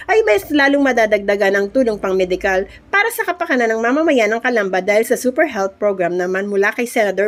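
Fast-talking Filipino woman reading news. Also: mid-range voice. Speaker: news reporter. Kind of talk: scripted news report narration.

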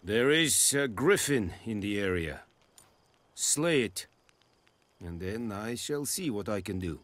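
Low-voiced foreign army commander. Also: male voice, animated, fairly bright tone, dry recording. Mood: measured. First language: not English. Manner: measured, formal, precise. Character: stern, formal foreign male army commander. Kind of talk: video game dialogue acting.

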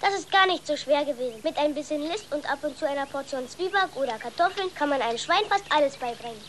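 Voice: sits high, expressive, fast, a bit bright, a little hiss or hum. A young performer playing a cheeky, clever farm boy in a German-dubbed children's film, earnest and matter-of-fact. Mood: proud, coaxing.

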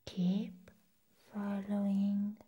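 A young woman doing an ASMR roleplay as an eccentric vampire nurse, whispering breathily in slow, drawn-out phrases with soft hums, close to the microphone. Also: mid-range voice, completely flat delivery, slightly rough, highs cut off. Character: eccentric, playful female vampire nurse, hungry for blood. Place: small indoor room set up as a clinic.

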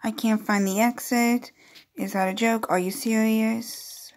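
A woman's voice: fairly high pitch, steady tone, unhurried. A woman doing casual, playful gameplay commentary, reading on-screen dialogue aloud with chatty, amused asides. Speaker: gaming video creator.

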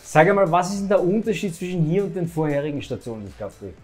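A man's voice: on the high side, big pitch swings. A man in his thirties with an Austrian accent, interviewing a football player in a casual, joking way. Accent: Austrian German. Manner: quick, joking, pushes with follow-up questions. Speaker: sports show reporter, interviewer. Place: football club café with shelves, indoors.

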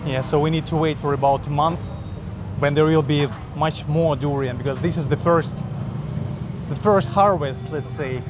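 A man's voice: on the high side, steady tone, normal speed, music in the background. A man narrating a casual travel vlog outdoors in a city, chatty and enthusiastic, talking straight to his viewers. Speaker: vlogger. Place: outdoors on busy city streets. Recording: clear street noise behind. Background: traffic and street noise.

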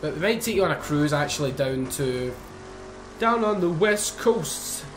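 A young man chatting casually over racing gameplay, excitable, swearing and joking. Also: fairly high pitch, moderate pace. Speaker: gaming YouTuber. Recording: faint game engine noise behind.

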